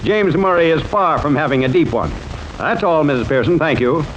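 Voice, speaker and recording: An actor playing an earnest, probing defense attorney, formal and insistent in old-time radio courtroom style. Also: male voice, on the high side, expressive, normal speed, dull audio, lightly acted. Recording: noisy old radio recording.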